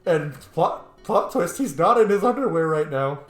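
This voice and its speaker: A person doing casual, gushing commentary while roleplaying through a video game, chatty and quick to laugh. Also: mid-range voice, animated, roomy sound, faint music behind.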